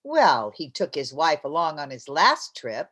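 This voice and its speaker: A woman playing an excitable, chatty, scheming housewife in an old-time radio comedy, with a theatrical manner. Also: sits low, breathy edge, full character voice. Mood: brisk. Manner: theatrical, quick to jump to conclusions.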